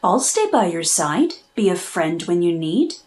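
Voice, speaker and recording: A woman's voice: fairly low pitch, very animated, measured pace, a little mellow, voice pushed down. A woman voicing a friendly, easygoing male toad in a children's storybook. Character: friendly male toad offering bouncy companionship.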